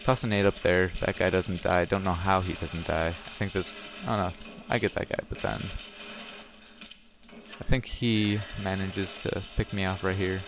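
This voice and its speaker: A young man with a slightly raspy voice, giving casual commentary over his own gameplay footage and often correcting himself as he talks. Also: deep voice, steady tone, normal speed, background noise. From Wisconsin, USA. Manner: rambling, self-correcting, chatty. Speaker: gaming channel host. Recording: music playing behind the voice.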